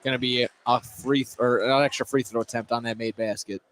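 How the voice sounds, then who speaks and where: A man giving conversational color commentary on a live basketball game, in a halting way that circles back on phrases. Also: mid-range voice, steady tone, a loud crowd. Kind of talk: conversational live sports analysis. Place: high school gym.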